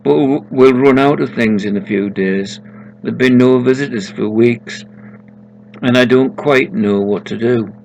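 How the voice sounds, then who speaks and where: A man voicing the ghost of a gentle old countrywoman who frets over her animals in a story. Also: mid-range voice, a little slow, creaky, dull audio, a little hiss or hum, pitched above the performer's own voice. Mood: gentle, worried.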